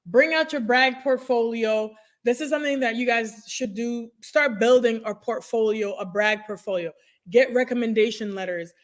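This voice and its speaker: An energetic woman in her thirties, a native French speaker, tells stories in a conversational podcast interview. Her voice sits fairly high. She speaks into a desk microphone on a video call from a room at home. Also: normal speed. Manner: energetic storytelling, expressive hands. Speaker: guest, nurse career coach.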